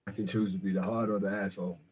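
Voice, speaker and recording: A man's voice: low-pitched, level tone, racing, heavy background noise, close to an everyday voice. A man acting an easygoing, good-hearted young hospital volunteer with casual banter in a screenplay table read, on dull audio. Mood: resolved, wry.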